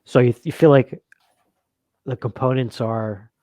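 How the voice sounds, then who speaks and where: Male co-host, average pitch. Relaxed conversational review talk, recorded dry with no room sound. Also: a little slow, no room sound. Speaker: co-host of a board game review show. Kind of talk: casual chat, asking questions and signing off to viewers.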